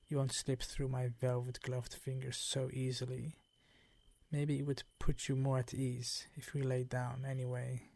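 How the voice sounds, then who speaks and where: Man playing a slow, gravelly vampire count. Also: medium pitch, darkish tone, dry recording. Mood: smug, amused. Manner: slow, ornate, condescending seductive purr. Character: suave, menacing older male vampire count, possessive and hungry. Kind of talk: ASMR roleplay monologue.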